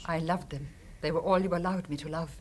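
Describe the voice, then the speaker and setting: An actress playing an anguished, defiant mistress of a cruel villain in a radio drama, intense and emotional. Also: female voice, low voice, fairly even intonation, brisk, a little hiss or hum, natural voice. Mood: guarded sorrow.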